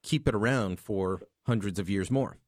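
A man's voice: on the low side, moderate pace, a little mellow, no echo, studio quality. A man hosting a call-in radio talk show, chatting easily with callers and laughing often.

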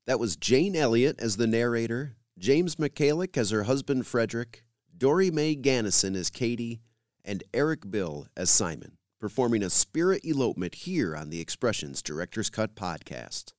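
A man hosting a podcast, reading scripted links in a measured, announcer-like way. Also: mid-range voice, big pitch swings, a little mellow, no echo, studio quality. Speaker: podcast host. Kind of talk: scripted podcast host narration.

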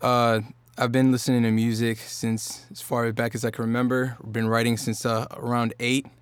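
Smooth-voiced man, relaxed rambling chat. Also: medium pitch, moderate pace, no echo. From Norfolk, Virginia. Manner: relaxed, rambling with many fillers and restarts. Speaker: singer-songwriter guest. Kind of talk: casual podcast chat.

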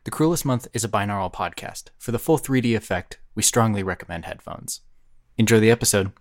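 A male announcer delivers a scripted podcast introduction. His voice sits fairly low, with a close, dry sound free of room echo. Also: fairly quick.

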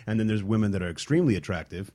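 A man co-hosting a talk radio show, cutting in with quick jokes. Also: fairly low pitch, lively intonation, warm tone. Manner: quick, joking interjections. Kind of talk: candid talk radio chat.

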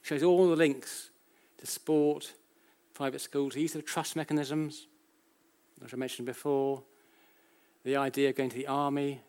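A British man speaks as an academic lecturer at a medium pitch. He explains in a conversational, anecdotal way, putting rhetorical questions to his listeners. His sentences often stop and start again as he repeats and corrects himself. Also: little pitch movement, a little mellow. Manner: halting, self-correcting, with frequent repetitions.

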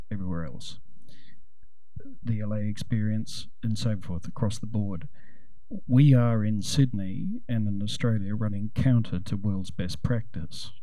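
Emphatic man with a low, creaky voice. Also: mellow, no room sound, poor audio. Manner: emphatic, formal, wordy, rhetorical. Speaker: audience member commenting at a panel event. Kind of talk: impassioned argumentative public comment.

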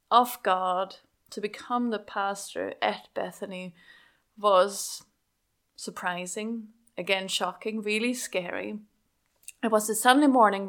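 A woman's voice: mid-range voice, fairly bright tone. A young woman from Switzerland with a Swiss accent, a senior pastor earnestly telling her story as an interview guest. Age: young adult.

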